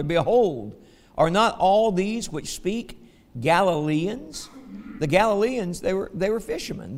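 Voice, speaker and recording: A man with a Southern American accent preaches a folksy sermon to his congregation. His voice sits slightly high and carries a gravelly edge. The sound rings with the long reverb of a large church hall. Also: darkish tone. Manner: folksy, asks the congregation "okay?" often.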